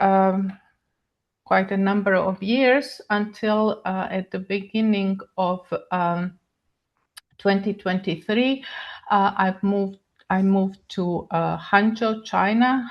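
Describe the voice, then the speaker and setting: An older woman from Croatia with a Croatian accent, giving a webinar lecture in a halting way with many pauses. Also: medium pitch, gravelly, dull audio. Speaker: guest speaker, neurochemist. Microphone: video call.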